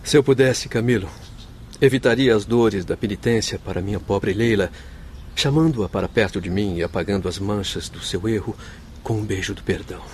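An actor in a radio soap playing a noble, devoted doctor's spirit, speaking with formal, heartfelt solemnity. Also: male voice, fairly low pitch, normal speed, darkish tone, slight background noise, natural voice. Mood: yearning, devoted. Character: noble grieving Spanish doctor spirit, devoted forgiving husband. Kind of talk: radio soap opera acting.

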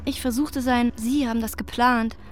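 A woman's voice: fairly high pitch, fairly even intonation, normal speed, soft background music, close to an everyday voice. An actress playing a shaken professional woman in a German radio drama, telling her story in a reflective, descriptive first person. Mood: measured. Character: shaken professional woman visiting a wrecked neighborhood.

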